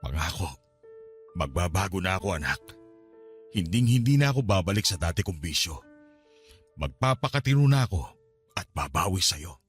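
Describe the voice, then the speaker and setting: A man's voice: deep voice, big pitch swings, normal speed, pauses often, breathy edge, soft background music, exaggerated voice. A man acting an older father, a drinker, in an emotional radio drama style. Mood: remorseful pleading. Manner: pleading, emotional. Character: remorseful older Filipino father, a drinker pleading with his child.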